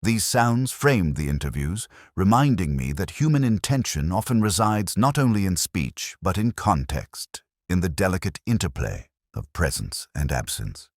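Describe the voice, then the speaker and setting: A man playing a meticulous, reflective detective, narrating his mystery in measured, deliberate, formal literary phrasing. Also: sits low, big pitch swings, no room sound, studio quality. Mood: contemplative, analytical. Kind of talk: scripted first-person mystery narration.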